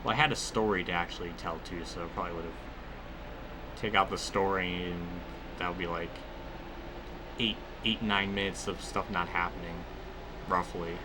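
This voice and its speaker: A man's voice: low voice, fairly even intonation, normal speed, long pauses, noisy background. A man giving casual commentary over a racing video game, rambling and often cutting himself off with exclamations. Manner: rambling, self-interrupting, exclamatory. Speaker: gaming video host.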